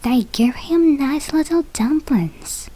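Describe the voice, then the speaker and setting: A woman who is a non-native English speaker reads a children's fairy tale aloud, carefully and deliberately, with a breathy, husky voice. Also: high-pitched, warm tone, low-quality recording. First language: not English. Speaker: storybook reader for English learners.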